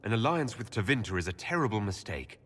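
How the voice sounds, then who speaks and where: Deep-voiced, wary male envoy hero. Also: very animated, fast talker, a bit bright, breathy edge, full character voice. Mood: firm. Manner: questioning, firm, diplomatic. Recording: long hall reverb.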